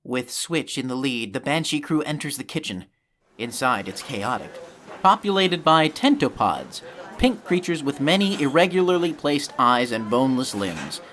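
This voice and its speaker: A man's voice: medium pitch, sing-song, normal speed, fairly bright tone. A man reading scripted narration for a sci-fi audio drama, with some ambient noise behind him.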